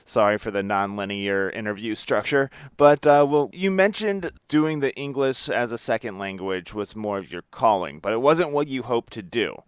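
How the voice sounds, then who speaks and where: A man's voice: fairly low pitch, expressive, normal speed, hardly any pauses, clean tone, no echo, studio quality. A man hosting a podcast in a casual, informal interview style, with an easygoing, rambling way of talking. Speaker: podcast host.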